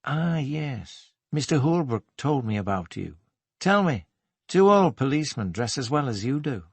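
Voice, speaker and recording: A man's voice: mid-range voice, very animated, moderate pace, no room sound. Man voicing a lilting young Geordie shopwoman. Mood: teasing. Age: 20s. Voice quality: slightly husky. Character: young shop woman, teasing, friendly, chatty.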